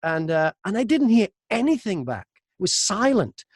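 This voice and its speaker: Male interview guest, high-pitched, chatty storyteller, very dry close sound. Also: sing-song, normal speed, husky, dry recording. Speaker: audiobook narrator and voice-over artist, interview guest. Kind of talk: casual interview anecdotes. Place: inside a wardrobe used as a home booth.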